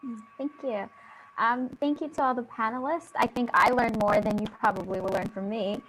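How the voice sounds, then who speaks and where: Female panelist, a doctor; higher voice, gracious, fillers; faint hiss. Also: fairly even intonation, moderate pace, a bit bright, poor audio. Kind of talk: panel discussion remarks.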